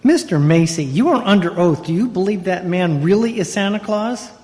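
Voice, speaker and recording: A man's voice: sits high, animated, normal speed, a little mellow. A man acting a harried, indignant prosecutor in an old-time radio play, exasperated and emphatic. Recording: reverberant hall, stage radio play.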